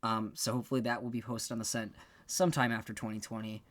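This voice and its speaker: A man's voice: on the low side, little pitch movement, fast talker, a bit bright. A man talking casually on a podcast in a rambling, self-deprecating way. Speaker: podcast member and amateur voice actor.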